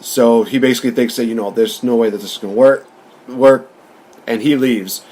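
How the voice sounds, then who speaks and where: A man's voice: medium pitch, fairly even intonation, fairly quick, a little mellow. A man co-hosting a podcast, casually recapping a film and commenting as the story goes. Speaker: podcast co-host. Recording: faint background ambient noise.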